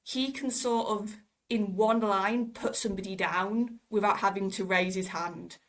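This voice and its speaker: A woman's voice: medium pitch, fairly even intonation, unhurried. A woman giving her opinions casually as a podcast panelist.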